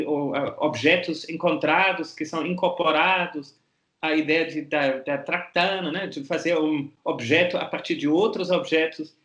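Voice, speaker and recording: Hesitant museum curator, echoey room. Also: male voice, on the high side, fairly bright tone. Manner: thoughtful, searching for words with many restarts. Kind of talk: interview answers. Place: art museum gallery.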